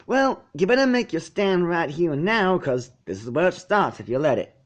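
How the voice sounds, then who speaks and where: Male reader as young filly, storybook pony, calm, firm, matter-of-fact, level-headed. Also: a little high, moderate pace, highs cut off, pitched above the performer's own voice. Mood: firm, encouraging.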